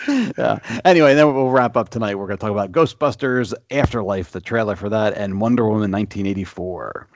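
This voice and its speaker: A man's voice: on the low side, expressive, fairly quick, no echo. A man co-hosting a casual podcast, talking in a loose, rambling way full of fillers. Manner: rambling, filler-heavy, easy laughs. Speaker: podcast co-host. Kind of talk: casual chat.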